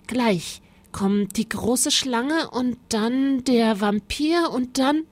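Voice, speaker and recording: A woman's voice: fairly high pitch, drawn-out words, no echo, voice pushed up. A woman voicing a plucky young girl in a children's story, exclaiming and pleading, frightened yet defiant. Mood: thrilled. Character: plucky young girl, high-voiced, easily irritated, frightened but defiant.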